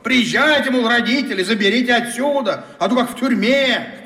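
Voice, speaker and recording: A high-voiced, middle-aged camp boss, officious and indignant, hectoring like someone making an announcement. The voice rings out with strong echo and long reverb, in radio drama style. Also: male voice, moderate pace, over a noisy crowd. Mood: scolding. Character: blustering, scolding male summer camp director. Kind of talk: radio play acting. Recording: echoing outdoor address with long reverb.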